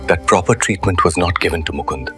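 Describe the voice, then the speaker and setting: Man acting a grave, reproving low-voiced judge. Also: fast talker, a bit bright, faint music behind. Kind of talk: animated moral story acting.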